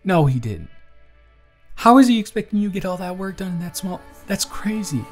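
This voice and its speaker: A man's voice: high voice, big pitch swings, moderate pace. A man with a Southern US accent playing an earnest, charming young bartender in love in an intimate audio roleplay. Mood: playful, gossipy. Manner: earnest, conversational, sweet-talking. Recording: music playing under the voice. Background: acoustic music.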